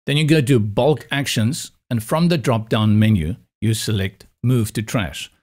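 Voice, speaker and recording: A man's voice: medium pitch, unhurried, a little mellow. A South African man whose first language is Afrikaans, explaining a website setup step by step in a patient screen-recorded tutorial. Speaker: web design instructor.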